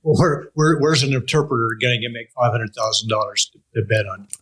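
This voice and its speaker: Casual man hosting, medium-pitched voice. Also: fairly even intonation, fairly quick, a bit bright. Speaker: interview host. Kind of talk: casual conversational interview.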